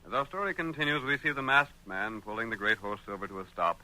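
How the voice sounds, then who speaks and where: A man's voice: on the low side, steady tone, quick pace, pushed and bright, low-quality recording. A man narrating an old-time radio drama as its announcer, in a dramatic, formal scripted style. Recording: vintage radio broadcast recording.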